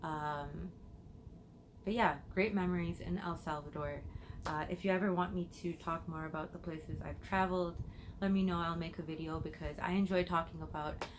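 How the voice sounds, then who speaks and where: Low-voiced woman chatting. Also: fairly even intonation, moderate pace, noisy background. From United States, Illinois. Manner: chatty, thinks aloud while answering. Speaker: perfume vlogger. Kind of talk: casual Q&A chat to camera. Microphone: phone.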